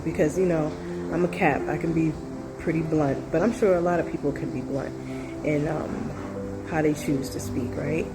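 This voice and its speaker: Woman, low voice, nasal from a cold, rambling casual talk, music playing behind. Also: low-quality recording. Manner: rambling, full of fillers and asides. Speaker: video commentator. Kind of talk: casual reaction video talk.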